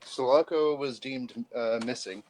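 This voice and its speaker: A man narrating a tabletop role-playing game as game master, with a halting, stop-start delivery. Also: medium pitch, a little mellow, a little hiss or hum, poor audio.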